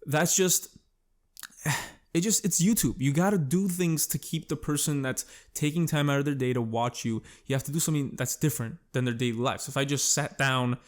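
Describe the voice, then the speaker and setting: A man's voice: medium pitch, normal speed. A young man hosting a solo podcast, rambling in a self-conscious, casual way and swearing often. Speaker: podcast host.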